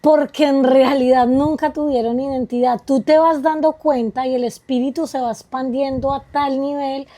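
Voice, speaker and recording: High, mellow woman musing. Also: steady tone. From Colombia. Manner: spiritual, musing, quick asides with rhetorical questions. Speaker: guest. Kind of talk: reflective conversation.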